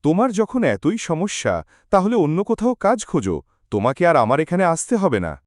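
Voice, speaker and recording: A man's voice: on the high side, wildly swooping pitch, fast talker, no echo, studio quality. A man voicing a hard-hearted, irritable boss in a cartoon, curt and dismissive. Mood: harsh, dismissive. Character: hard-hearted, irritable adult male boss refusing wage advances. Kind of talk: cartoon dialogue acting.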